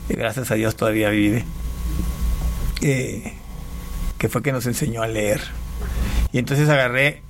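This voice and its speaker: A man from Panama, an interview guest, giving a personal testimony in a casual, halting way with repeated words. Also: medium pitch, steady tone, a little hiss or hum, rough recording.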